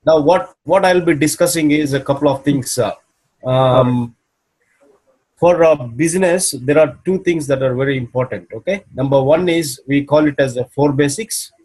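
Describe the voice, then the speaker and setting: A man with a somewhat raised voice walks a listener through steps on a video call. He is patient and steady, tagging each point with a quick "okay?" to make sure he is being followed. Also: fairly high pitch, low-quality recording. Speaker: business mentor. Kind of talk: video call coaching session.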